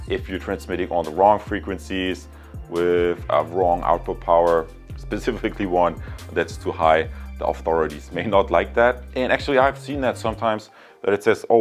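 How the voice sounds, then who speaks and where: A man talking casually and unscripted to viewers as a product reviewer, explaining things in a rambling, conversational way. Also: sits low. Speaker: product reviewer, channel host.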